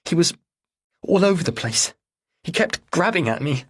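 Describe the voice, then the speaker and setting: A man voicing a distressed sixteen-year-old girl answering police questions in a halting, defensive way in an audiobook. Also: on the high side, big pitch swings, fairly bright tone, slightly rough, pitched above the performer's own voice, heavily acted. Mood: upset, defensive.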